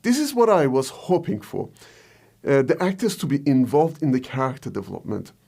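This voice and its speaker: A man's voice: a little high, warm tone, breathy edge. A man presenting a scripted explainer as a video host and filmmaker. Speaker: video host, short film director.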